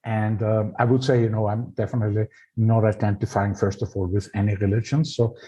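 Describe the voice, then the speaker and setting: Thoughtful Austrian man, low warm voice. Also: little pitch movement, breathy edge, muffled recording. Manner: thoughtful, slow, searching for English words. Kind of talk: casual spiritual conversation.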